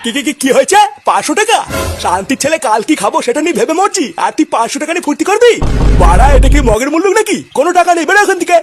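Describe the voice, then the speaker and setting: A man voicing a crabby, vain old grandfather in a comedy cartoon, husky and animated, given to cursing grumbles. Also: very high voice, very fast, over music. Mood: angry.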